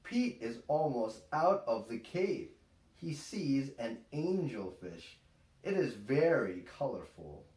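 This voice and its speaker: A man reads a children's picture book very slowly and deliberately, with lively swings in pitch. He sounds a little way from the microphone in an echoey room at home. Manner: deliberate, expressive, simple phrasing. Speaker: storytime host, father reading aloud. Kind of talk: slow picture-book read-aloud for young children. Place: small room at home, indoors. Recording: roomy, distant home-camera sound.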